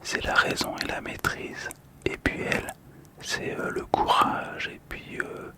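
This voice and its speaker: Whispering man, soft ASMR commentary. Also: a little slow. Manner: soft, calm, gentle whispering. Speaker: host of a manga card ASMR channel.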